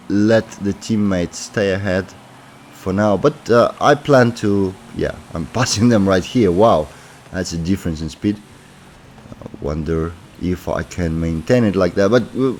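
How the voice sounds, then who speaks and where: A man's voice: low-pitched, a little mellow, a little hiss or hum. A man with a sore throat from a cold, giving casual commentary on his racing game as he plays, thinking aloud with many fillers. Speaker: gaming commentator.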